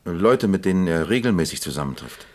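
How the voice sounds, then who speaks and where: Very deep-voiced, probing police captain. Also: male voice, expressive. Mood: affable, probing. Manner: deliberate, questioning, official. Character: calm, probing male East German police captain. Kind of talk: radio crime drama acting.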